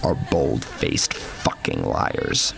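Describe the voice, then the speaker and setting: A blunt, scornful skeptic played by a man in audio story dialogue. The voice is low, and the delivery is cynical and defensive. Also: sing-song, very slow, gravelly, music in the background, full character voice. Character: blunt, scornful male skeptic confronting a paranormal author.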